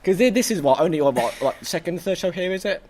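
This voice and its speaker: Hoarse excitable man hosting. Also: high voice, fast, a little hiss or hum. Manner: excitable, stammering restarts, swears. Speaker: host. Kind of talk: casual review chat.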